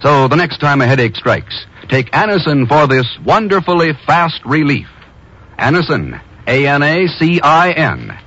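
A man's voice: fairly high pitch, sing-song, a little slow, fairly bright tone, husky. A man with an American accent announcing on a 1950s radio broadcast in a formal, polished, emphatic style. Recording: dull vintage 1950s radio broadcast, music behind.

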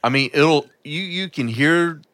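List: man, deep and bright-toned, swears, restarts a lot. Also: unhurried, no room sound. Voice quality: deep voice, throat often raspy. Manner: rambling, swears, stammers on restarts. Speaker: podcast guest. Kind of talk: casual chat.

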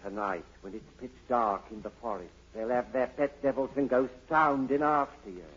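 A man's voice: mid-range voice, fairly even intonation, moderate pace, a bit bright, slightly rough. A man acting a sly, cowardly Cockney hanger-on in a 1940s radio drama, taunting and slangy. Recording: muffled, lo-fi 1940s radio recording.

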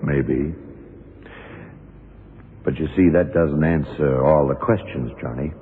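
An actor playing a shrewd, methodical police detective in an old radio drama, questioning in a deliberate, probing way. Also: male voice, rumbling low, slightly rough, heavily acted. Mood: analytical. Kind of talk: 1960s-70s radio drama acting. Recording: tinny, muffled old radio recording.

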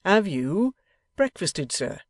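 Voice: mid-range voice, big pitch swings, takes it slowly, no echo, studio quality, pitched above the performer's own voice. A reader's blunt old husband, raised and emphatic. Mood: blunt, firm. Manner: emphatic, firm, homely phrasing. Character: plain-spoken, grave older husband, blunt, kindly, protective of wife.

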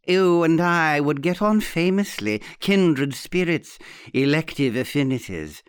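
A man's voice: on the high side, expressive, dry recording, clean studio sound. A man voicing a sly, theatrical old aunt in an audiobook, suave and falsely sweet with a mocking edge. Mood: mock-sentimental, theatrical. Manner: suave, smooth, drawn-out delivery with satirical edge. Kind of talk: dramatic audiobook character reading.

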